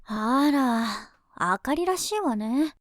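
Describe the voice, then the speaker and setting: A woman playing a lively teenage schoolgirl idol in an anime-style voice drama, self-assured, feminine and playfully scolding. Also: high-pitched, takes it slowly, no room sound, studio quality. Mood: fond. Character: lively teenage schoolgirl pop idol, sociable, teasing friend.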